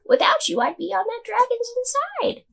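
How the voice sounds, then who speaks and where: A woman voicing an excitable, grateful witch who exclaims her lines in a children's storybook. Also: moderate pace, gravelly, full character voice. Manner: exclaiming in high cries.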